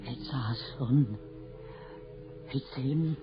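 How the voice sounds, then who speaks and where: A woman's voice: very deep voice, very slow, full character voice. A woman playing a grieving mother desperate for her dead son, theatrical and pleading, in an old-time radio horror drama. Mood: hushed, entranced. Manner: theatrical, pleading, swinging from hushed to frantic. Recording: muffled old radio recording with music behind.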